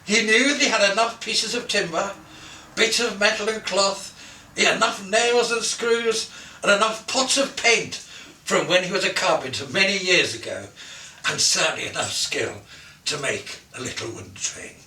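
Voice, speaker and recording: A man reading a children's Christmas story aloud in an expressive, theatrical way, savouring lists and softening the ends of his phrases. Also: high voice, moderate pace. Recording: roomy echo, distant from the mic.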